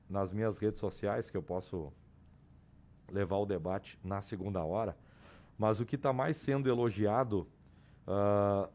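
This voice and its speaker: Hoarse man reporting sports news. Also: mid-range voice, dark tone. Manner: explanatory, restarts and repeats names. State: hoarse, losing his voice. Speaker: sports commentator.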